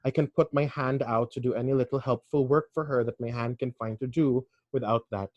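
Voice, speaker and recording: A man voicing a self-loathing, weary young English gentleman in a measured, grave reading. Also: medium pitch, steady tone, dry recording, lightly acted. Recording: dry, slightly dull recording.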